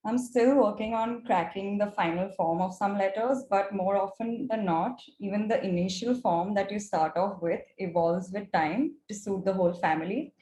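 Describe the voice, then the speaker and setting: A woman's voice: medium pitch, normal speed, hardly any pauses, warm tone. A young woman with an Indian accent, a native Gujarati speaker, giving a prepared presentation as a student. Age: young adult. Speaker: mentorship student presenting her project. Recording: roomy echo with clear background noise.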